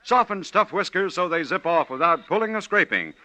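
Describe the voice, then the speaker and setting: A man's voice: high voice, expressive, fairly quick, pushed and bright, no room sound. An American man reading a 1940s radio commercial as a punchy, emphatic announcer. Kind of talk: 1940s radio advertising pitch. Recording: dull, dry vintage radio recording.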